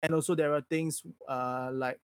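A man from Singapore, a native Mandarin speaker with a Singaporean accent, chatting casually and candidly on a livestream in an animated way. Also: fairly high pitch, moderate pace, clear, resonant tone.

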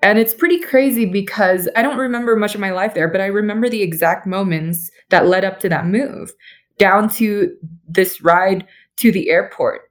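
A woman's voice: medium pitch, normal speed. A young woman, an architect and interview guest, telling her story casually with many "like"s over a remote call.

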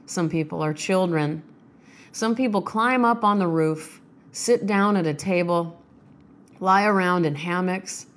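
Low-voiced woman, chatty and self-mocking, voice rough from a sinus infection, solo in a home studio. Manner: chatty, self-deprecating, joking. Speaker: podcast host reading poems. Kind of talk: casual chat and poetry reading.

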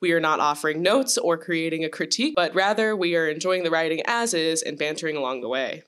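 A woman's voice: low-pitched, quick pace, fairly bright tone, clear, resonant tone. A woman co-hosting a podcast in a casual, chatty style. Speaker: podcast host and producer.

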